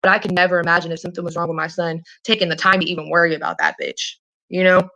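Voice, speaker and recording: Woman on a phone chat, kinda low but sharp voice, rambles and repeats herself, swears a bit. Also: animated, rapid-fire, rough recording. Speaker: caller in a private phone call. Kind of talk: casual, earnest phone conversation.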